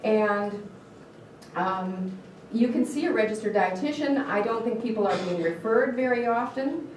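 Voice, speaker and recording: A woman's voice: on the high side, measured pace, darkish tone, slight background noise. A dietitian from Michigan, with a Michigan accent, gives an earnest talk and puts questions to a live audience, often restarting her words. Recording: echoey room picked up from a distance.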